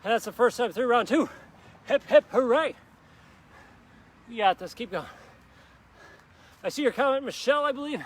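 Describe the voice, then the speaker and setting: A man's voice: very high-pitched, lively intonation, normal speed, long pauses. A man leading a live workout in a park, coaching upbeat and encouraging while doing the moves himself and joking about his own slip-ups. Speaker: fitness instructor. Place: outdoor park.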